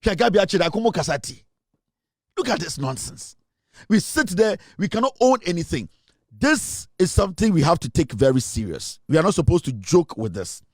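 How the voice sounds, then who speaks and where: A man's voice: on the high side, big pitch swings, a little fast, husky, no echo, clean studio sound. A man from Ghana with a Ghanaian English accent, giving an emphatic commentary monologue and repeating his points for stress. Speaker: online commentator.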